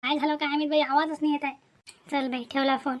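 A woman's voice: high-pitched, level tone, fast talker, close to an everyday voice. A woman voicing a chatty, dutiful young married village woman in a cartoon family drama, with a Varhadi Marathi accent. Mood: frustrated, fretting. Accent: Maharashtrian, Varhadi Marathi.

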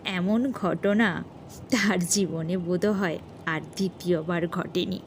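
A woman storyteller narrating a scripted horror story dramatically, in a measured way with pauses. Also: fairly low pitch, fairly even intonation, a little hiss or hum.

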